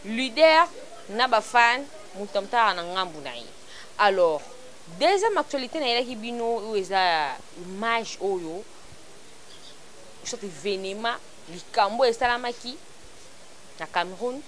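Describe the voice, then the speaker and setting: A woman's voice: fairly high pitch, lively intonation, measured pace, fairly bright tone, a little hiss or hum, rough recording. A woman from Congo, hosting an online celebrity news show in a chatty, casual commentary style. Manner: chatty, mixing French and Lingala freely.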